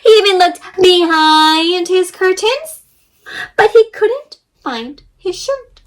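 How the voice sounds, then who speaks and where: Casting: woman, high voice, children's read-aloud host, expressive, encouraging, talks straight to the kids. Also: slow pace. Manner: expressive, encouraging, addresses young viewers directly with questions. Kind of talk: interactive children's story read-aloud with craft instructions.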